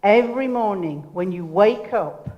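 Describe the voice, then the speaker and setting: An older woman giving a motivational keynote speech, loud and commanding, directing the audience. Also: medium pitch, lively intonation, a little slow, highs cut off. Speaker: keynote speaker. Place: lecture hall. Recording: lecture hall reverb.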